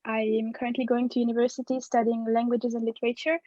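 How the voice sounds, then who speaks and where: A twenty-year-old woman living in Réunion introduces herself with excitement. Her voice is high, and the audio is dry and muffled. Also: fast talker, dry recording. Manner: bright, excited.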